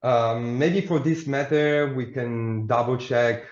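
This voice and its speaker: A man's voice: medium pitch, slow. Man presenting admissions info. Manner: hesitant, many fillers. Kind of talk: online information session. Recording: echoey room, dull lo-fi sound.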